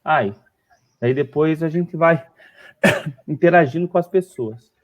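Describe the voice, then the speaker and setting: Quick, offhand co-host. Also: male voice, a little high. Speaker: co-host, stream organizer. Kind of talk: casual live-stream setup talk. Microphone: video call.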